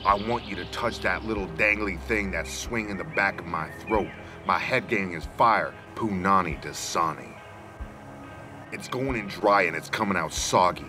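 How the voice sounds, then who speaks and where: A man's voice: sits low, moderate pace. A man in his forties giving an emphatic, theatrical mock-dramatic reading of rap lyrics. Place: outdoors among trees. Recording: music under the voice. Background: music.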